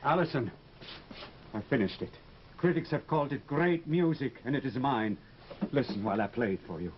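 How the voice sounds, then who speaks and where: An actor playing a suave, cultured French-speaking composer in old-time radio drama style, on a muffled vintage recording. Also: male voice, mid-range voice, expressive, moderate pace, slight background noise, lightly acted. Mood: proud, solemn.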